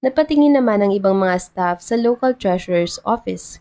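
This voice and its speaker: A woman's voice: medium pitch, lively intonation, normal speed, mellow. A woman narrates a scripted love story as a storyteller. Her voice sits in a middle range for a woman.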